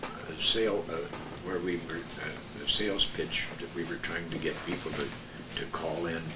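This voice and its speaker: A man, a veteran broadcaster, reminiscing casually and haltingly as a guest. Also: sits low. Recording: echoey room, distant mic, noisy.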